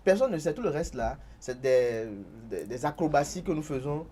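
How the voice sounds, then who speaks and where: A man's voice: fairly high pitch, animated, normal speed, dark tone. A man on a studio panel, talking insistently and repeating words as he cuts in. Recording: faint background noise.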